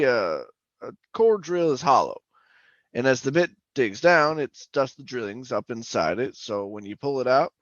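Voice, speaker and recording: An actor playing a garrulous middle-aged former oil-rig roughneck, telling his tale in a rambling, folksy radio drama manner. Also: medium pitch, no room sound, lo-fi sound, close to an everyday voice. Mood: explanatory. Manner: rambling, folksy, colloquial storytelling. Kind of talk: old-time radio drama monologue and dialogue.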